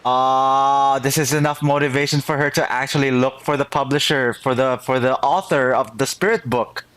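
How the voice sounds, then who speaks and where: A man's voice: mid-range voice, moderate pace, clean tone. A man live-streaming a game playthrough with casual, exclamatory commentary, often repeating his words. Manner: animated, exclamatory, self-repeating. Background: game music.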